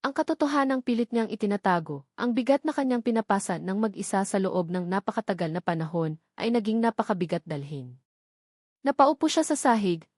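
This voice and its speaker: A woman's voice: medium pitch, darkish tone, dry recording. Woman narrating a dramatic story, dry sound.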